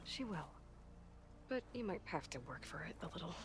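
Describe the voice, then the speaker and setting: An actress playing a young, modest and frank heroine in a video game cutscene, with an American accent and a reassuring, natural delivery. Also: female voice, on the low side, sing-song, normal speed, noisy background. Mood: gentle, encouraging. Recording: loud music behind the voice.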